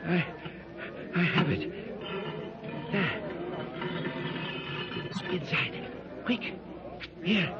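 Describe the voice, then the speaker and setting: Urgent adventurer, 1930s radio play, lo-fi hiss. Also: male voice, high-pitched, lively intonation, drawn-out words, pauses often, exaggerated voice. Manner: urgent, commanding. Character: Tom, determined adventurer hauling treasure. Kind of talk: 1930s radio drama acting. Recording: noisy lo-fi 1930s radio recording.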